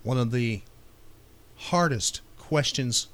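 A man's voice: medium pitch, slow pace, very noisy. A man hosting a casual trivia show, playfully quizzing and teasing out each question. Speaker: trivia podcast host.

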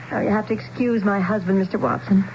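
An actress playing a chatty, apologetic small-town diner wife in a radio drama, speaking informally. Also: female voice, mid-range voice, fairly even intonation, normal speed, slight background noise, lightly acted. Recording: muffled old radio broadcast.

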